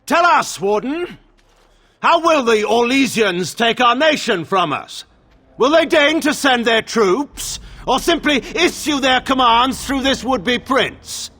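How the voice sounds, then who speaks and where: An actor playing a proud, aging warlord regent in a fantasy game, declamatory and scornful. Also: male voice, very high voice, lively intonation, slow pace, sharp tone, heavily acted. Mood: mocking accusation. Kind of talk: theatrical fantasy game acting.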